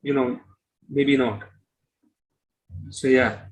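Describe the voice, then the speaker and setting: A man's voice: mid-range voice, fairly even intonation, drawn-out words, poor audio. A man giving conversational interview answers as a musician, explaining and rephrasing as he goes.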